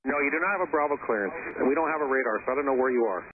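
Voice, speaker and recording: A man's voice: medium pitch, racing. A man working as an air traffic controller, speaking in clipped phrases over aviation radio. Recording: tinny, noisy air traffic radio transmission.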